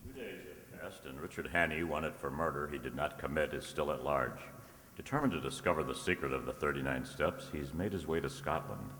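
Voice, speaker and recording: A man announcing and narrating a scripted old-time radio drama in a formal, measured manner. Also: a bass voice, a bit bright, a little hiss or hum. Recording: echoey hall, long reverb.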